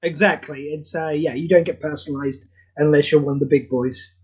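A man's voice: fairly high pitch, fairly even intonation, a little fast, slightly rough. UK man, podcast guest, rambling and self-deprecating, muffled lo-fi mic. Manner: hesitant, many fillers, self-mocking. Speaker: guest, audio drama writer. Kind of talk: casual chat. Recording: dull lo-fi audio.

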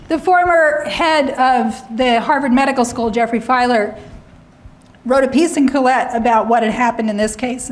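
A middle-aged woman lecturing on academic freedom, slightly hoarse, often clearing her throat and adding wry asides. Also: high voice, slight background noise, low-quality recording.

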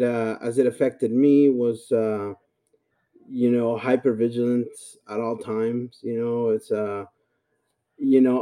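A man in his forties from El Salvador, giving a reflective interview in a halting, thoughtful and polite manner. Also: medium pitch, dark tone. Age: 40s. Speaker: podcast guest.

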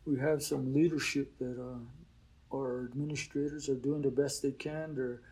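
An older man speaks at an unhurried pace in an interview, reflecting as he goes. His voice is dark and relaxed. He keeps it conversational, with plenty of "you know.". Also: mid-range voice, low-quality recording. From Oklahoma. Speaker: teacher at a boarding school.

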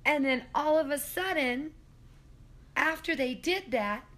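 A hoarse middle-aged woman telling a spooky story at her kitchen table, rambling with many ums and self-corrections, recorded on a phone. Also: high-pitched, measured pace, fairly bright tone. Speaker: guest storyteller. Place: apartment kitchen at home.

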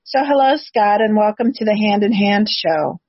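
A woman's voice: mid-range voice, animated, moderate pace, husky, no room sound. A woman hosting an interview podcast, moving between scripted introductions and questions for a guest. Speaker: podcast host. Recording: dull, lo-fi, slightly muffled audio.

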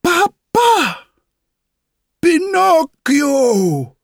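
A man voicing an excitable, proud little puppet boy in an audiobook, eager and exclamatory, with a husky tone. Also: very high-pitched, very slow, no room sound, clean studio sound, pitched above the performer's own voice, full character voice. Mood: overjoyed crying out. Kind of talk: audiobook character voice.

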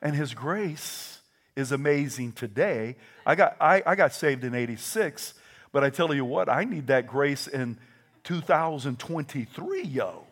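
Southern preacher, hush to shout. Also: male voice, mid-range voice, unhurried. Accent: American Southern. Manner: swings from hushed asides to shouted proclamation; animated storytelling with quoted voices. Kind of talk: sermon.

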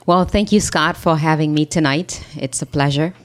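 Low-voiced woman telling her story. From Haiti. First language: Haitian Creole. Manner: earnest, measured storytelling. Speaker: interview guest, business founder.

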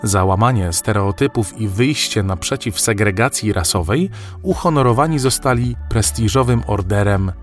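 A man narrating a scripted children's story in an expressive, wry way. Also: fairly low pitch, moderate pace. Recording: faint music behind narration.

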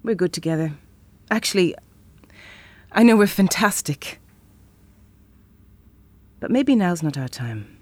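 A woman's voice: on the low side, sing-song, slow pace, long pauses, darkish tone, dry recording, exaggerated voice. An actress playing a frank, playful, independent woman in a naturalistic audio drama. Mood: tender, hurt.

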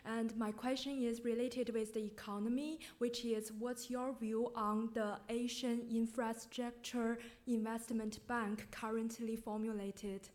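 Young woman from China, high flat voice. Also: measured pace, hardly any pauses. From northeast China. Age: young adult. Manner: polite, careful non-native phrasing. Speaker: audience member asking a question. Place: lecture forum hall.

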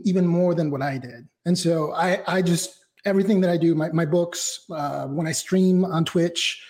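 High-voiced reflective man, interview guest. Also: darkish tone. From Mexico. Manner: reflective, talks in long winding sentences with fillers. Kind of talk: casual interview.

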